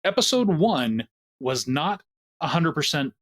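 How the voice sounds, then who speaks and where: A man's voice: on the high side, very animated, unhurried, fairly bright tone. A man speaking candidly as a producer in a podcast interview, often restarting his sentences. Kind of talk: casual interview talk.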